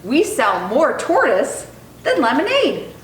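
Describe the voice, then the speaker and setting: A woman reading a children's picture book aloud in an expressive, animated storytelling style. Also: high voice, slow. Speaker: storytime reader. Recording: roomy echo, distant mic, faint noise.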